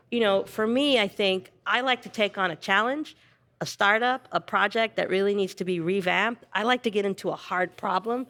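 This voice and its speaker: Reflective woman in her fifties. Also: medium pitch. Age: 50s. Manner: reflective, emphatic, frequent "right?" asides. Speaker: panel guest. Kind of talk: panel discussion, conversational.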